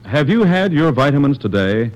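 A man's voice: medium pitch, very animated, normal speed, a little mellow, muffled recording. An American man reading scripted announcements in an earnest, formal, persuasive manner on a vintage 1940s radio broadcast.

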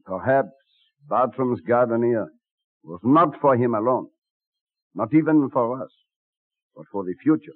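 An actor playing a thoughtful, courtly gentleman in a 1930s radio drama, with a solemn, measured delivery. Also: mid-range voice, lively intonation, no room sound. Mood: solemn, reflective. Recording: dry, muffled old radio recording.

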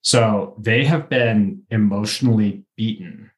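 A man talks as a panelist on a podcast. His voice is on the low side, and his delivery is conversational and casual as he discusses a series. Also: measured pace. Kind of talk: casual chat about a TV series.

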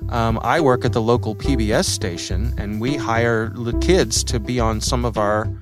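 A man's voice: mid-range voice, moderate pace, music in the background. A middle-aged man with an American accent, a podcast host talking through his own story in a conversational, thoughtful way. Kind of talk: reflective personal storytelling for a podcast.

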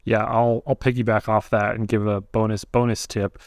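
A man's voice: fairly low pitch, little pitch movement, moderate pace, vocal fry, no echo, clean studio sound. A man hosting a casual podcast, talking in a loose, chatty way with a nasal voice. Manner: chatty, loose, many "like" fillers. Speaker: podcast host. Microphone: close studio mic angled up.

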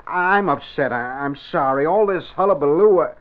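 An actor playing a prim, easily flustered office assistant in 1940s radio style. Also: male voice, a little high, animated, normal speed, close to an everyday voice. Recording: muffled, phone-like old radio recording.

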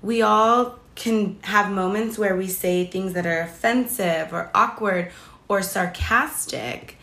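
A woman co-hosting a casual podcast, with a slightly raspy, deeper voice. She chats loosely, leans on "like" a lot and laughs easily. The sound is roomy and echoey. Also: slow pace, a bit bright. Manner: chatty, many "like" fillers, laughs easily. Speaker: podcast co-host. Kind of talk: casual chat.

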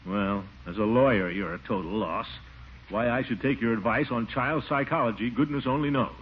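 An American actor playing a pompous, blustering, easily exasperated middle-aged uncle in a classic radio comedy. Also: male voice, medium pitch, normal speed, lightly acted. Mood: indignant. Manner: pompous, flustered, chuckling bluster. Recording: muffled, hissy old radio broadcast.

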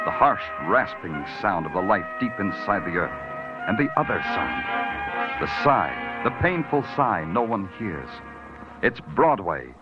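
Vintage radio noir detective: world-weary, terse, brooding, music behind, dull old recording. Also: male voice, fairly low pitch. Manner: terse, sardonic, poetic in narration. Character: hard-boiled, brooding, world-weary male police detective. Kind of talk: 1950s hard-boiled radio drama acting.

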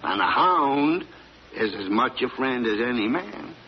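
A man's voice: medium pitch, animated, unhurried. An actor playing an older, stoic, folksy country father with a rural American accent, in an old-time radio drama. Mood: pleading, defiant. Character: weathered stoic rural hound-loving father, convicted of killing. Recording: dull, noisy old radio recording.

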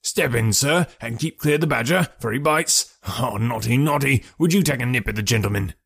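A man voicing a cranky, lanky old male animal keeper who yells threats and then chats fussily. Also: mid-range voice, normal speed, creaky, slightly rough. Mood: fussy, welcoming. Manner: shouting threats, then fussy and chatty.

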